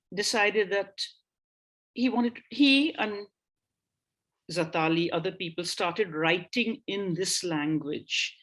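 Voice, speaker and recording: Slow-talking woman lecturing over a call. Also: medium pitch. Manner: thinking aloud, with frequent pauses and restarts; reads out an old text in a slower voice. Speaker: guest lecturer on language history. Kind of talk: online lecture. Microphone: video call.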